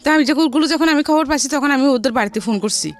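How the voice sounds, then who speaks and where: A middle-aged woman with a Bangladeshi accent telling her life story in a studio interview, haltingly and often repeating phrases. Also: high voice. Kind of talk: personal storytelling in a radio interview. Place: radio studio. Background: background music.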